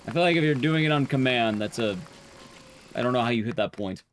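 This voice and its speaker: A young man streaming to his chat, talking casually, rambling and chatty with sudden jumps in pitch. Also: brisk, a little hiss or hum.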